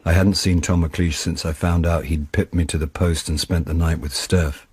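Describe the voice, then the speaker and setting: A man's voice: deep voice, little pitch movement, moderate pace, darkish tone, breathy edge, no echo. An actor playing a wry, jaded middle-aged man narrating his misadventures in a radio drama, dry and self-mocking.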